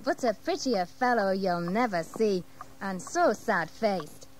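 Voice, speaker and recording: A woman's voice: medium pitch, expressive, takes it slowly, a little hiss or hum, low-quality recording. An actress playing a plain-spoken, saucy servant woman in an audio drama, folksy and gossipy. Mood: gossipy, wistful.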